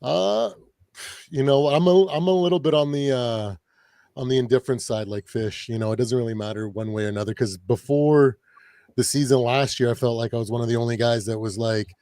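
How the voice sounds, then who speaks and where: Hoarse man in a rambling chat. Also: mid-range voice, a little mellow, no echo, studio quality. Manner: rambling, lots of fillers and self-corrections. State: raspy voice from partying. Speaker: guest, fan channel creator. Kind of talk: casual podcast chat.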